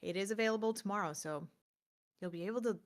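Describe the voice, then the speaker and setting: Female podcast co-host, mid-pitched, easy conversational delivery, addressing a co-host and stream audience off the cuff. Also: quick pace. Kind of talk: casual unscripted chat with co-host and viewers.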